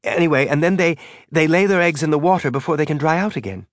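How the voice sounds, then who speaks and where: Nervous young woman scientist, eager and rambling, high rising lilt, voiced by a man. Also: quick pace, dry recording, studio quality, natural voice. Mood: earnest.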